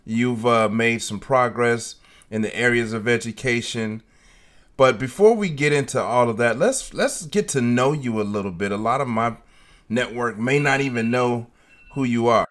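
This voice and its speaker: A man's voice: medium pitch, moderate pace, a bit bright. A man hosting a podcast interview, upbeat and hyped, often repeating his phrases. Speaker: podcast host. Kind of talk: casual interview chat.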